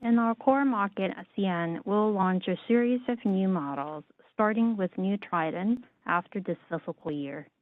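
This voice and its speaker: A woman giving a formal scripted business presentation as a conference call interpreter, reading in a measured, orderly way. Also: mid-range voice, dry recording. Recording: narrow, dry phone-like line.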